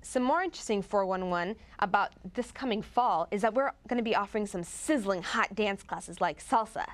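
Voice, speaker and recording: A woman with a mid-pitched voice delivers a scripted promo. She gushes cheerfully about what is on offer, with a playful, tongue-in-cheek edge. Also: fairly quick. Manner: chirpy, gushing, tongue-in-cheek. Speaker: presenter.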